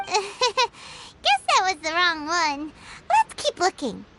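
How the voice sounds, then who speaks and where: A woman voicing a small, childlike, excitable fairy companion with a squeaky, exclamatory delivery in an anime game. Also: slow, heavy background noise, heavily acted. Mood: chirpy, curious. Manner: exclamatory, speaks of self in third person. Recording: game dialogue over loud music. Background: game music.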